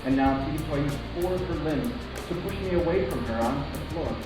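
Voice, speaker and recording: A man's voice: a little high, a little fast, lightly acted. Echoey, reflective young son narrating, played by a man. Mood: grave, reflective. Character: reflective young human son recounting his family's past. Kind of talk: scripted dramatic narration. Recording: echoey, distant, music underneath. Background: music.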